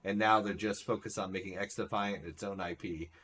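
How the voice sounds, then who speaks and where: A man hosts a live stream in a relaxed way. He fills his sentences with "like" and "right?". His voice sits a little low. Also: brisk. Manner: relaxed, says "like" and "right?" often. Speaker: streamer host. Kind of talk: casual live-stream chat.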